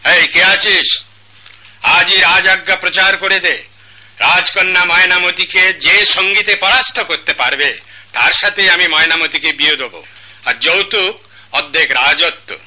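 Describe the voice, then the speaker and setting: A man's voice: high-pitched, a little slow, bright, forceful tone, exaggerated voice. A man with a Bangladeshi accent playing a proud, imperious king in a radio drama, regal and declamatory. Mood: commanding. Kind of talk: Bengali radio drama acting.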